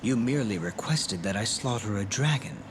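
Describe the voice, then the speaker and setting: A man playing a cold, brooding shadow knight in a fantasy game, with grim, measured delivery. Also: on the low side, noisy background, close to an everyday voice. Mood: defiant. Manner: deadpan threats, grim, measured. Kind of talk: dramatic fantasy game acting. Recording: game scene under loud music.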